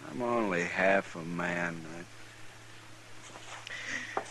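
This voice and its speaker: An actor playing a broken, guilt-ridden failed homesteader in an old radio western, halting and full of self-reproach. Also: male voice, deep voice, sharp tone, full character voice, rough recording. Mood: ashamed. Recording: hissy old radio recording.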